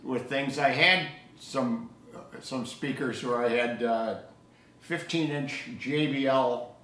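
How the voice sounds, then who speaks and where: Older guy just talking shop, kinda halting storyteller style, roomy echo, normal pitch. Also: fairly bright tone. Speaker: co-host of an audio hobby show. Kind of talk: casual hobby discussion. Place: living room table indoors. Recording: echoey room sound.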